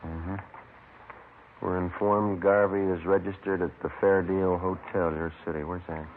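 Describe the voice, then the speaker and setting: Terse detective sergeant for vintage radio crime drama: low voice, clipped and deadpan, muffled noisy recording. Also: male voice, unhurried. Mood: businesslike. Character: terse, matter-of-fact male police detective sergeant. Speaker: lead actor and narrator. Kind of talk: 1950s radio police drama acting.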